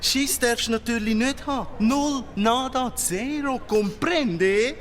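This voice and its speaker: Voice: medium pitch, takes it slowly, lightly acted. An actor playing a brash, streetwise big-city cockroach in a children's radio play, with Swiss German speech and hip-hop slang mixed with English. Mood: cocky.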